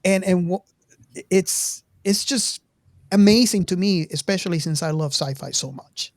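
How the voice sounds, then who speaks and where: A woman in her fifties hosting a casual film discussion, speaking haltingly and often repeating words as she goes. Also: on the low side, darkish tone, no room sound, studio quality. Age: 50s. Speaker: podcast host.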